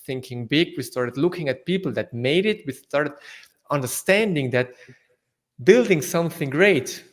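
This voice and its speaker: A young man from South Tyrol whose first language is German, speaking English with a German accent as an interview guest, halting with fillers and restarts. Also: on the high side, expressive. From northern Italy, South Tyrol. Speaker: guest entrepreneur. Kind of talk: casual interview answers.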